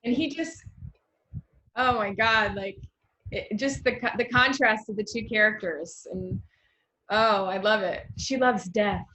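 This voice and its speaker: A woman's voice: mid-range voice, a little slow, sharp tone, low-quality recording. An American woman hosting an online literary show over a video call, chatting casually. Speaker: host of online literary series. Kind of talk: casual, gushing interview chat.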